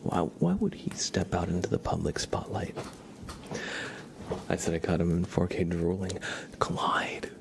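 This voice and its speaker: A man with a low, gravelly voice chats softly on an ASMR livestream, often slipping into a whisper. His delivery is playful and mock-serious, and there is clear noise behind him. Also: level tone, a little slow, pauses often. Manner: playful, mock-serious, doting talk about and to a pet. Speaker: ASMR live streamer. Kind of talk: soft-spoken ASMR livestream chat, often dropping into whispers.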